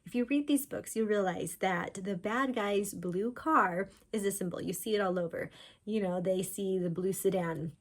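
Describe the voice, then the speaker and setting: A woman author talking informally about books, in an animated storytelling way. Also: medium pitch, normal speed. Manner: animated storyteller, voicing characters' thoughts in quotes. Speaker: author discussing own books. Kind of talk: chatty informal book talk.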